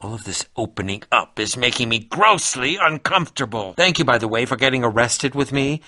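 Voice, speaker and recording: An actor voicing an excitable, eccentric, sarcastic adventurer in a video game, with a theatrical delivery that moves between muttering and exclaiming. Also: male voice, medium pitch, a little fast, no echo. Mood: sardonic, guarded. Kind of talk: animated video game voice acting.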